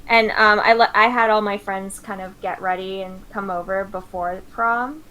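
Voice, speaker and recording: Bright-voiced young woman streamer. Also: medium pitch, fairly even intonation, normal speed, rough recording. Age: 20s. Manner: chatty, full of fillers. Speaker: streamer host. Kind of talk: casual chat while drawing on stream.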